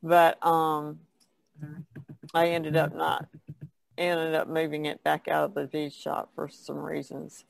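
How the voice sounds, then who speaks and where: A woman's voice: low-pitched, monotone, slow pace, vocal fry, pauses often, dry recording. A woman of seventy chatting casually as a guest on an art podcast. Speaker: podcast panelist, artist. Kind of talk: casual chat.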